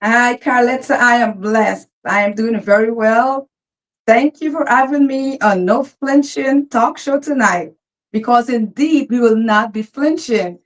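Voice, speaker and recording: A woman's voice: fairly high pitch, a little slow, rough recording. A woman from Guyana with a Guyanese accent, a minister greeting a talk show host with fervent, churchy phrasing. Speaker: guest, minister.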